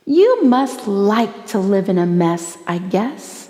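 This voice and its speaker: A woman's voice: mid-range voice, sing-song, slow, mellow. A woman voicing an exasperated mother from a storybook, scolding with reproachful, emphatic questions. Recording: long hall-like reverb.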